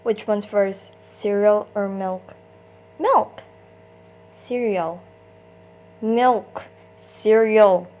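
A woman's voice: mid-range voice, very slow, pauses often, a little mellow. A woman doing an amateur comic dub, acting out short character lines theatrically in switching voices.

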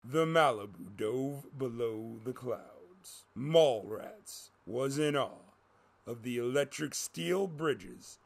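A man's voice: mid-range voice, expressive, slow, creaky. A man reading a scripted story as a dramatic narrator.

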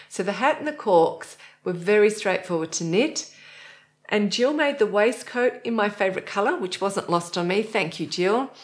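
A middle-aged woman hosting a knitting show from a living-room sofa, chatty and cheerful, laughing often. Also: mid-range voice. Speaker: host.

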